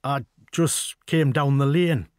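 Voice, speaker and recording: A man's voice: mid-range voice, slow, clear, resonant tone, no echo, clean studio sound, lightly acted. A man voicing a shy, polite young man from a northeast England mining village, speaking with a Pitmatic accent. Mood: hesitant. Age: young adult. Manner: awkward, hesitant, polite.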